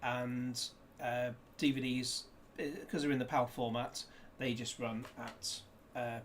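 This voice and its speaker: A man's voice: mid-range voice, flat delivery, measured pace, a little hiss or hum. A man chatting casually through a disc unboxing, rambling with jokey asides, recorded indoors on a desk camera. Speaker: disc reviewer.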